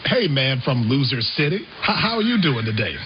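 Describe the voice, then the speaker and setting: Animated playful radio co-host man. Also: mid-range voice, moderate pace. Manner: playful, laughing, animated reactions. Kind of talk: call-in radio banter. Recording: muffled radio broadcast with noise.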